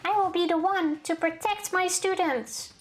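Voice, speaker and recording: Woman doing a shrill earnest rabbit mascot. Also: normal speed, faint music behind. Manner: reading game dialogue aloud in a raised earnest voice. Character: timid female rabbit mascot turned protective.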